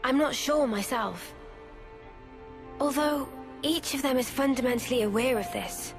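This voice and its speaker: A woman playing a composed, gentle young heroine in a video game. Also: high voice, measured pace. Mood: composed, somber. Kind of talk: dramatic video game voice acting. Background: music.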